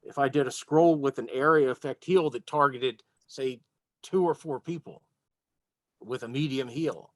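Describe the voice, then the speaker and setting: A man's voice: medium pitch, moderate pace, dry recording. A man playing a tabletop role-playing game, talking casually as he works out his moves.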